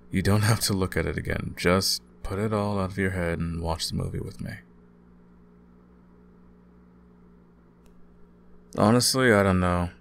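A young man playing an easygoing, caring student roommate in an intimate ASMR audio roleplay, talking casually with gentle teasing. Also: deep voice, gravelly, a little hiss or hum. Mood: relaxed, teasing. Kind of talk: intimate ASMR boyfriend-style roleplay, conversational acting.

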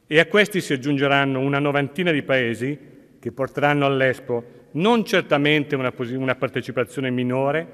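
A man's voice: medium pitch, a little fast, fairly bright tone. A middle-aged man giving a plain, businesslike formal speech at a podium. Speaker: expo chief executive. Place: large ornate state hall. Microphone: podium microphones. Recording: long hall reverb.

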